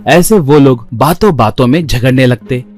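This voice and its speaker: A man's voice: mid-range voice, moderate pace, darkish tone, soft background music. A man narrating a scripted children's story.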